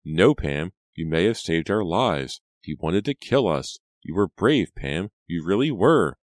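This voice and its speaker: Audiobook role: male reader as a tough-minded teen girl, shaken yet emphatic, pitched up from a bass, dry sound. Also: expressive, measured pace, no echo. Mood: earnest reassurance. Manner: urgent, emphatic.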